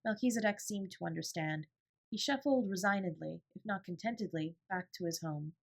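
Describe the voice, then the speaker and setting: A woman's voice: fairly low pitch, moderate pace, vocal fry. Woman narrating a children's storybook. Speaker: audiobook narrator.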